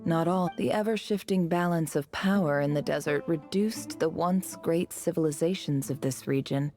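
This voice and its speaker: An actress playing a cunning young envoy in a video game, polished, composed and secretly scornful, with a faintly condescending manner. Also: female voice, low-pitched, moderate pace, darkish tone, soft background music, close to an everyday voice. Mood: poised, diplomatic. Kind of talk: dramatic video game voice acting.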